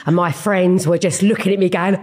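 A woman's voice: lowish voice, steady tone, brisk, darkish tone. Frank, giggly woman. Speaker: podcast guest. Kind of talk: casual chat.

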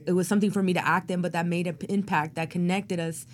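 A woman's voice: lowish voice, fairly even intonation, fast talker. A woman who is an actor and playwright, speaking as an interview guest in a rambling, reflective way with many fillers. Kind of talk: interview conversation.

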